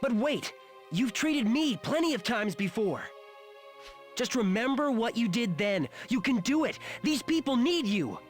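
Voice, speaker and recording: A woman's voice: on the high side, very animated, unhurried, soft background music. An actress playing a bold, loyal and determined young female soldier in a video game, speaking with plucky, heartfelt conviction. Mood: urgent, insistent. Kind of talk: dramatic video game voice acting.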